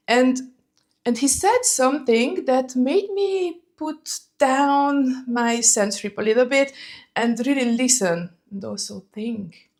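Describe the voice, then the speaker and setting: A woman with a Hungarian accent speaks in a casual, conversational vlog style, full of friendly asides. Her voice sits a little on the high side. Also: a little mellow. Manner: chatty, conversational, frequent "you know" asides. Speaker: fragrance vlogger.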